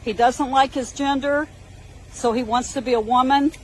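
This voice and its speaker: A woman with a fairly high voice in a played video clip. She speaks calmly and matter-of-factly, with faint background noise. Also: normal speed, low-quality recording. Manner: calm, matter-of-fact, slightly religious phrasing. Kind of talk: personal video monologue.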